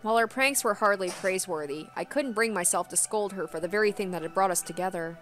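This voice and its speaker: Woman narrating a story over soft music. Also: mid-range voice, fast talker. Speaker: gaming video host. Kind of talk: dramatic first-person story narration, read aloud.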